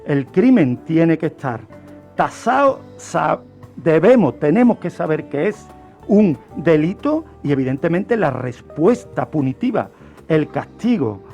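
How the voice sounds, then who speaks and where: A man's voice: a little high, big pitch swings, measured pace, darkish tone, faint music behind. A man giving didactic, emphatic explanations in a radio discussion. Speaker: criminology commentator on a radio show.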